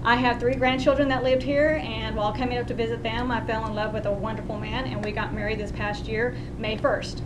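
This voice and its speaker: A woman's voice: fairly high pitch, fairly even intonation, fairly quick, few pauses, noisy background. An older woman with a Texan accent giving a homey, chatty personal narrative speech for a class, with small restarts. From West Texas.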